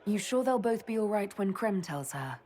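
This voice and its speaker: A woman playing a composed, dry-witted battle mage leader in a video game, wry and measured with a husky voice. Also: medium pitch, animated, darkish tone, slight background noise, natural voice. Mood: easygoing.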